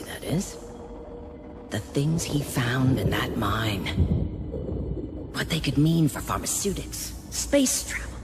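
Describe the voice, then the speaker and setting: Calculating female executive, very low voice, animated delivery, condescending, persuasive, video game drama. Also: full character voice. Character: haughty, calculating female corporate colony director.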